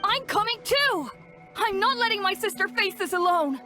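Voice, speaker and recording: An extremely high, swooping voice of a feisty little kid in an anime-style game. The child is eager, loyal and defiant, with clear music behind. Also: female voice, expressive, moderate pace, sharp tone, full character voice. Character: feisty small child, loyal and protective younger sibling. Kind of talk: excited anime-style video game voice acting.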